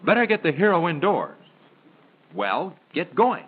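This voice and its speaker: A man's voice: a little high, big pitch swings, unhurried. A man delivering scripted 1930s film patter in a playful, theatrical, questioning manner. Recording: tinny 1930s film soundtrack, faint noise.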